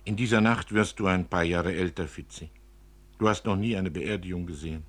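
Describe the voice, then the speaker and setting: A man reading the part of a tender, solemn father who muses gently and philosophically about death in a literary story. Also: low-pitched, fairly quick. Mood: solemn, gentle. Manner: earnest, murmuring, philosophical.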